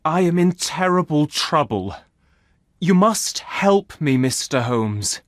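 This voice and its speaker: A terrified young man pleads for help in a high, strained voice, every line urgent and shaking, as a man puts him on for a storybook reading. The voice sits well above the reader's own and stays dry and close. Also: no echo. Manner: trembling, pleading.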